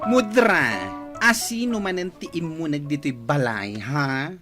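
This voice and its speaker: A woman's voice: low-pitched, takes it slowly, over music. A woman with a Filipino accent acting a nagging, indignant young woman in a radio drama, scolding and emphatic. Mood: complaining.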